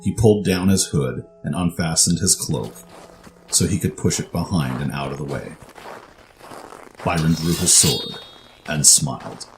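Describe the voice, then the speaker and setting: A man's voice: extremely low pitch, measured pace, music in the background, poor audio. A man narrating a scripted fantasy story as its storyteller.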